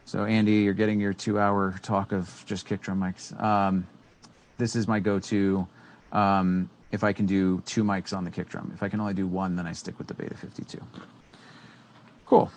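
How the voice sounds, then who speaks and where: A very low-voiced man in his thirties talks through audio gear at a relaxed, unscripted pace. He wanders with frequent fillers and restarts, speaking into a studio microphone in a small room. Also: normal speed, a little hiss or hum. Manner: rambling, many fillers and restarts. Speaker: co-host. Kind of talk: casual educational tech talk. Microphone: studio microphone on boom arm.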